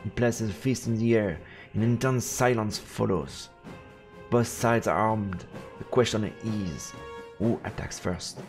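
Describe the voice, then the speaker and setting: A male streamer reads a fantasy visual novel in dramatic storybook narration. His voice is fairly low with a lot of creak. Faint game music plays behind him. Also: measured pace.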